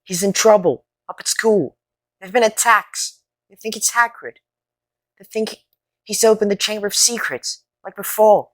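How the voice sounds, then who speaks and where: A determined young schoolboy hero voiced by a woman in an audio drama. The mid-pitched voice is husky and breathy, pressing on with earnest urgency. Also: normal speed, close to an everyday voice. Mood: nervous, earnest. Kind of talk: fan audio drama acting.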